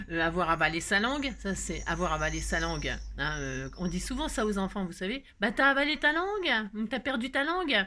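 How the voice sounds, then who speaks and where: A woman's voice: mid-range voice, pushed and bright, a little hiss or hum. A woman hosting a craft video, chatting casually in a rambling, jokey way full of digressions. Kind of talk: casual chat.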